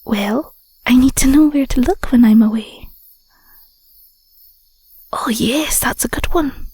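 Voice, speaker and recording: A woman playing a tender, sentimental young Scottish werewolf girlfriend in an intimate audio roleplay, speaking softly and breathily with a gentle, affectionate manner. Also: high voice, slow, heavily acted. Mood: fond, curious. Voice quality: soft, breathy, whispery. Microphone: close mic, dry.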